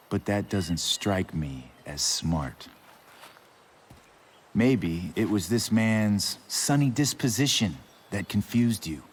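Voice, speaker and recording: A man's voice: fairly low pitch, slight background noise. An actor playing a volatile, sarcastic, cruel male drug dealer in a video game, taunting as he talks. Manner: taunting, drawn-out, sarcastic delivery.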